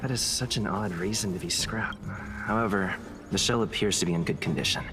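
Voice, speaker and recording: A man's voice: low-pitched, brisk, over music, lightly acted. A man voicing an earnest young lancer adventurer in a video game. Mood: matter-of-fact.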